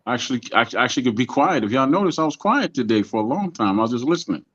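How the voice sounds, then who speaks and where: A man's voice: mid-range voice, expressive, fast talker, a bit bright, lo-fi sound. A man in his fifties chatting casually as a guest panelist on a boxing show. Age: 50s. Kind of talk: casual chat.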